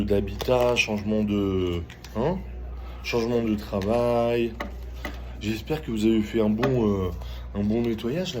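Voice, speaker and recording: Low-voiced man, halting casual talk, noisy background. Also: dark tone. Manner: halting, repeats phrases, often checks in with listener. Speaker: tarot reader. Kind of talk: casual spoken tarot reading with personal chat. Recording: clearly noisy ambient background.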